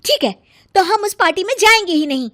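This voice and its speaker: A woman's voice: very high-pitched, expressive, brisk, pushed and bright, pitched above the performer's own voice, full character voice. A woman voicing a bossy, vain young fashion-obsessed daughter-in-law in a cartoon story, emphatic and pushy. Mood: indignant.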